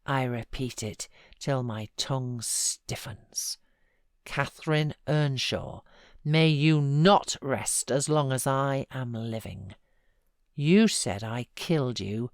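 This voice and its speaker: A man's voice: mid-range voice, takes it slowly, dry recording, clean studio sound. A woman voicing a vehement, grief-stricken man in a dramatic audiobook reading, with impassioned outcries. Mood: anguished despair. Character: anguished, vehement man raging in grief and passion.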